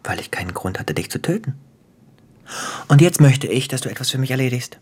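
An actor playing a menacing, sardonic gangster boss in a radio drama, taunting and playful, slipping into breathy whispers. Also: male voice, mid-range voice, very animated, fairly quick, a little mellow. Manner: taunting, theatrical, dropping into whispers. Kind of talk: German radio drama acting.

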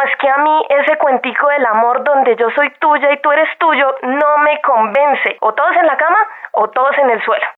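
A woman's voice: high-pitched, natural voice. A woman playing a feisty, indignant young feminist with a Colombian accent, blunt and sarcastic, in a radio drama. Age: young adult. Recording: narrow telephone line sound.

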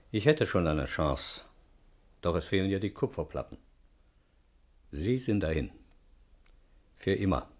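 A man's voice: low voice, darkish tone. An actor playing a proud, weary old bourgeois father in a radio play, speaking in grave, formal, literary phrases. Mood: resigned, mournful. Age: older.

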